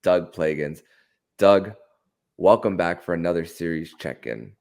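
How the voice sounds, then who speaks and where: Low voiced guy hosting a sports podcast, kinda halting, repeats words and restarts a lot. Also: creaky, darkish tone. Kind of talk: conversational sports interview.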